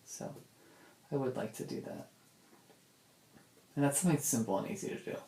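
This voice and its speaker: A man's voice: mid-range voice, lively intonation, normal speed, dark tone, reverberant. A young man thinking aloud in a casual audio diary, hesitant and often circling back on himself with repeated filler words. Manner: hesitant, repetitive, self-interrupting with many "like"s. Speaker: audio diarist.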